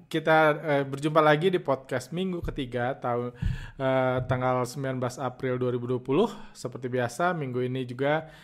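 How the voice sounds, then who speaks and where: A man with a hoarse voice from a heavy cold, hosting a stock trading podcast in a halting, casual way with many filler sounds. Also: medium pitch. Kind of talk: casual market commentary.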